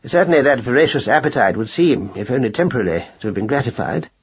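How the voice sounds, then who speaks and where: A man acts out an elderly male mouse from a children's storybook. He is pompous and long-winded, with a breathy, rough voice that rises and dips widely. He speaks in fussy formal phrases with hesitant afterthoughts, on a slightly dull recording. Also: mid-range voice, lively intonation, fast talker. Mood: pompous musing. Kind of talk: children's audiobook character voice.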